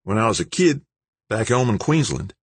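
Male narrator as big Australian man, hot-tempered, blunt, swearing, insistent, swooping pitch, dry close sound, audiobook character. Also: very animated, normal speed, husky, no room sound. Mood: casual bluffing.

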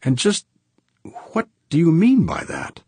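Man voicing a crisp, cool businesswoman, pitched up. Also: wildly swooping pitch, unhurried, a little mellow, full character voice.

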